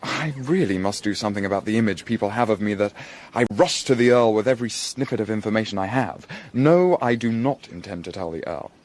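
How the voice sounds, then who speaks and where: An actor playing a discreet, shrewd young Victorian student, speaking in a measured, formal, dryly ironic way in a period audio drama. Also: male voice, on the low side, lively intonation, darkish tone, close to an everyday voice. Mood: dry, assured.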